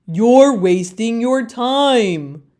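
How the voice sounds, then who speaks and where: A woman voicing know-it-all, taunting leaves in a children's storybook, speaking loudly and drawing out the words. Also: a little high, lively intonation. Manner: slow, loud, drawn-out taunting.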